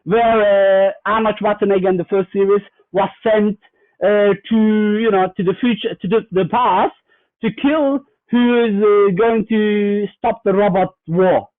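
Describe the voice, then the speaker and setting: A high-pitched man from Germany speaks as a guest in a fireside chat. He explains earnestly, often pausing on fillers and restarting his sentences. His voice comes through a tinny, phone-like remote line with a dry sound. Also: fairly even intonation, dry recording. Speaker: guest cybersecurity executive.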